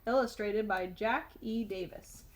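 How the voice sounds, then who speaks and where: A woman's voice: mid-range voice, a little slow. A woman storyteller reading a children's picture book aloud expressively.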